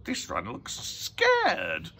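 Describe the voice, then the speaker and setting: Here is a pompous storybook burglar, performed by a man, self-important and easily rattled. He stretches his words grandly and very slowly. The pitch leaps about in a highly animated way. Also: gravelly, heavily acted. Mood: puzzled. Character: Luther, theatrical male art thief, self-important and jittery.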